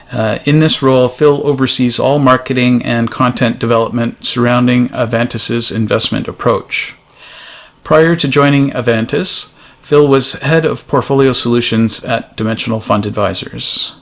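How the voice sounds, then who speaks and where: A man hosting a finance discussion, reading guest introductions in a measured way with frequent fillers. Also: medium pitch, fairly bright tone. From Canada. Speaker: host.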